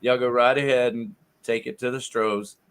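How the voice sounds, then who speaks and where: A man's voice: medium pitch, steady tone, normal speed, a bit bright, clear, resonant tone. A man, teasing and joking in casual banter.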